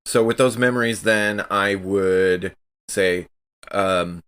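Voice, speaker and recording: A man's voice: deep voice, takes it slowly, fairly bright tone, clear, resonant tone. A man chatting casually as a player in a tabletop roleplaying game, improvising his moves.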